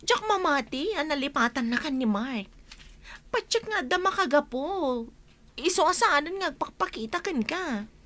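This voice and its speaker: A woman with an Ilocano Filipino accent playing a lively, nagging young friend in a radio drama. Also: high voice, normal speed. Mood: teasing, prodding. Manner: animated, prodding. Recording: music behind the voice.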